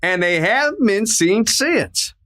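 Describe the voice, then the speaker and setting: A man's voice: high voice, sing-song, slow, sharp tone, full character voice. A man playing a friendly, loyal Old West sheriff in a radio drama, with a folksy drawl full of frontier slang. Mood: puzzled, excitable. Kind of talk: old-time western radio play acting.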